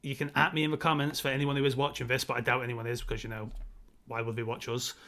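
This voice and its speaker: A man's voice: medium pitch, flat delivery, quick pace, crowd noise. A man co-hosting a casual film discussion, speaking in an analytical, emphatic way and wandering off into side points. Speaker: podcast co-host.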